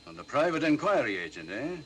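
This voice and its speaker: An actor playing an officious, skeptical Scottish police inspector in a 1940s mystery drama. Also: male voice, medium pitch, big pitch swings, quick pace, fairly bright tone. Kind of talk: 1940s film mystery acting. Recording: hissy old film soundtrack.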